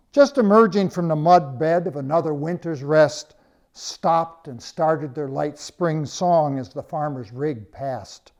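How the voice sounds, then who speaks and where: A man reading his own story as scripted narration, with measured, dramatic phrasing and pauses. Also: fairly high pitch, darkish tone.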